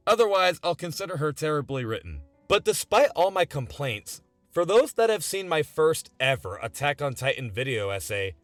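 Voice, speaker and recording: Animated, higher-pitched young man narrating. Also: no echo. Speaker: video essayist. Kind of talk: scripted video essay narration. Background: background music.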